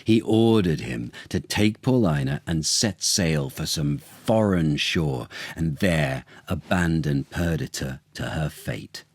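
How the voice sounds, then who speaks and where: A man reading a children's story as dramatic narration, deliberate, expressive and theatrical. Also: a bass voice, no echo.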